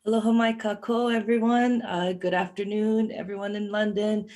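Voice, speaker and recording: A woman's voice: medium pitch, flat delivery, normal speed. Upbeat woman speaking over a video call. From Hawaii. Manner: warm, upbeat greeting. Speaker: conference speaker.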